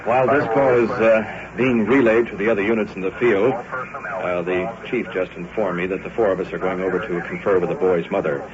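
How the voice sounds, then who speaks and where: A man's voice: sits low, steady tone, brisk, a crowd in the background. An American man reporting live from the field as a radio host, narrating what happens around him. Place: outdoors in the field at a search scene. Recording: muffled 1950s field recording, loud noise.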